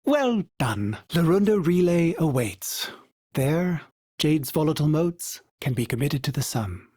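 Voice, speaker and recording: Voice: very animated, measured pace, dark tone, dry recording. A performer voicing a polite, fussy male starship AI who speaks of himself in the third person, through a glitchy synthetic filter, with dry wit and a wistful tone. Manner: courteous, dry wit, sudden pitch jumps. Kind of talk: game character voice lines.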